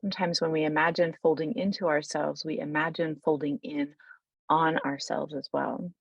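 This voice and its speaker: A woman leading an unscripted guided meditation in a soothing, unhurried, informal way, pausing mid-thought as she goes. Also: low voice, vocal fry, slightly rough, no echo, lo-fi sound.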